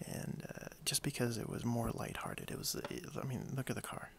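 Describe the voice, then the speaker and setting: A man speaking softly in a breathy half-whisper, hushed and friendly, role-playing a toy shop owner for ASMR. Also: medium pitch, steady tone, a little hiss or hum. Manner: hushed, unhurried, friendly, hesitant phrasing. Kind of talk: soft-spoken ASMR role play. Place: indoors at a wooden desk.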